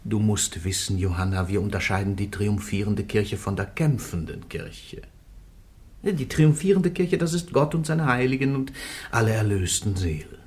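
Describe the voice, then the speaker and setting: A man's voice: lowish voice, animated, normal speed, darkish tone, natural voice. An actor playing a male church interrogator who questions an accused woman sternly and lectures her. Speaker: interrogating judge. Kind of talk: radio play acting.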